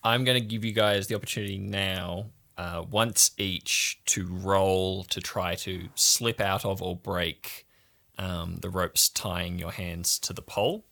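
A man narrating a tabletop roleplaying game as game master, in a measured, deliberate way. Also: sits low, steady tone, gravelly, sharp tone. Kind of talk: improvised second-person scene narration for a tabletop game.

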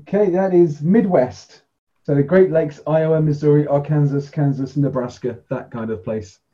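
A man runs a tabletop role-playing game in casual talk. His voice is very mellow and a touch high for a man, and the recording sounds slightly dull. He explains rules as he goes. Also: normal speed.

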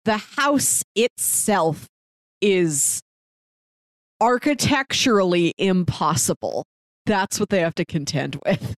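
A woman's voice: lowish voice, expressive, slow, dry recording. A woman co-hosting a podcast, explaining things in a casual, informal way as in a book club chat. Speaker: podcast co-host.

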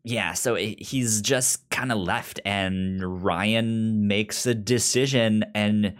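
A man co-hosting a podcast in casual chat about a TV drama, halting and often repeating or stammering on words. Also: lowish voice, fairly even intonation. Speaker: podcast co-host.

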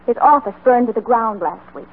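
An actress playing a worried, pleading frontier wife in a radio western, speaking earnestly and anxiously. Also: female voice, fairly high pitch, brisk, lightly acted. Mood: fearful. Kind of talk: old-time radio western acting. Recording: muffled, noisy vintage radio recording.

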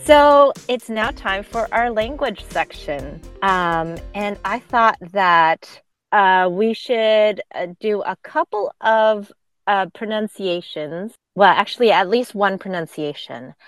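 A woman's voice: medium pitch, takes it slowly, clear, resonant tone, no room sound, faint music behind. A woman co-hosting a podcast, chatting casually and explaining pronunciation with frequent fillers and laughs. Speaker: podcast co-host.

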